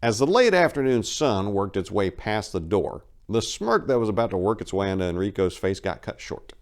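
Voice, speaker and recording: A man's voice: on the low side, animated, normal speed. A man hosting and reading a scripted story as dramatic narration, with theatrical emphasis. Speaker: host and narrator.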